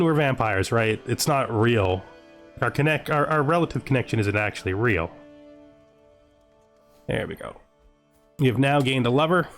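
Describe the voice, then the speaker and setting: A man giving casual let's play commentary on a strategy game, musing aloud and reading game text out. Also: mid-range voice. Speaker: gaming video host. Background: background music.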